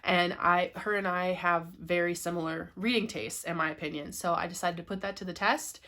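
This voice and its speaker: A woman's voice: fairly low pitch, steady tone, a little fast, sharp tone. A young woman hosting a casual book haul vlog, with a congested, cracky voice from a cold, chatty and laughing often. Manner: chatty, laughs often, frequent ums and likes. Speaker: booktuber host.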